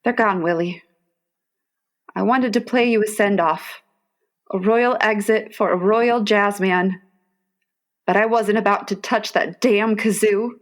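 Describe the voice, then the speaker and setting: A woman's voice: mid-range voice, close to an everyday voice. A woman playing a devoted, wry older wife and mother in a comic radio play, tender with a dry wit.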